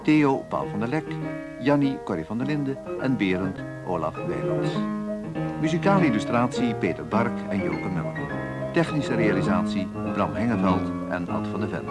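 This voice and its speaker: Lively male announcer over loud piano. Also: lowish voice, moderate pace. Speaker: radio drama announcer. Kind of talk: scripted credits announcement. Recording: loud keyboard music behind. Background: piano music.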